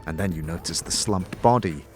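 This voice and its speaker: A man giving dramatic, descriptive second-person narration for a fantasy audio drama, deliberate and theatrical. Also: deep voice. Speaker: narrator and game-story performer. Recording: faint music behind the voice. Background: faint music.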